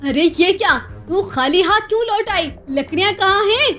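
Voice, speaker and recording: A harsh, dismissive aunt from a cartoon village tale, as a woman plays her. Her voice is high and scolding, full of mockery. Music runs faintly underneath. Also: animated, moderate pace, exaggerated voice. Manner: sharp scolding, mocking.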